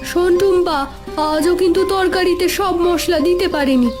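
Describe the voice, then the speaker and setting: A woman's voice: very high-pitched, normal speed, over music. A woman playing a concerned village mother of a young girl in a cartoon. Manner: explaining, concerned. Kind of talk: cartoon voice acting.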